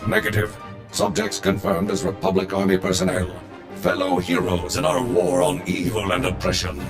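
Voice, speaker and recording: An actor playing a pompous, zealous patriotic war droid in a video game, declaiming grandly. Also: male voice, low voice, normal speed, over music. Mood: military alertness. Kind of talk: video game cutscene dialogue.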